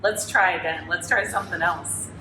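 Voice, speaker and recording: A woman's voice: lowish voice, normal speed. Californian woman chatting in an echoey room. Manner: warm, reflective, chatty with "you know" asides. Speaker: Pilates educator. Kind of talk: casual interview. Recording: echoey room with background noise.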